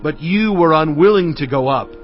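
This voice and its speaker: A male actor voices a prophet-leader addressing his people in a scripture drama. His rather high voice swings expressively, emphatic and solemn as he recounts and admonishes. The sound is a little muffled, with music underneath. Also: animated, normal speed, natural voice. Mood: impassioned, admonishing. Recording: dull audio with music underneath.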